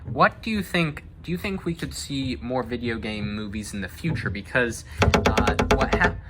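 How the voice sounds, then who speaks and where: A man hosting a casual film discussion, talking in a halting, conversational way. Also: medium pitch, lively intonation, loud music behind the voice. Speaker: host.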